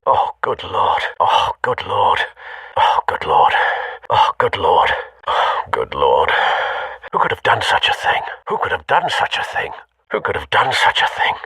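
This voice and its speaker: A refined older British butler from a video game, low and slightly rough. He is formal and loyal, with bright, lively delivery and dry understatement. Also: measured pace, dry recording, recorded from a distance, exaggerated voice. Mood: shocked.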